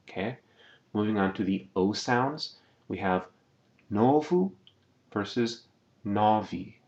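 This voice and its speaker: A man teaching a language lesson over slides. He talks patiently, says words slowly and keeps checking in with "okay?". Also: fairly low pitch, dull audio.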